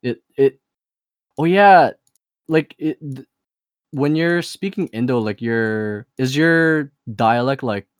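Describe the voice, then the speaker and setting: Excitable young man, dry close sound. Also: mid-range voice, slow, clear, resonant tone, dry recording. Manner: excitable, slangy, laughs often. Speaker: podcast guest. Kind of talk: casual chat.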